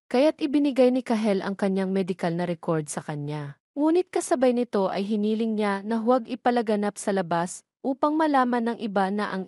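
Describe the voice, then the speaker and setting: A woman's voice: medium pitch, darkish tone, dry recording, studio quality. A woman reading scripted narration of a romantic story, as a storyteller.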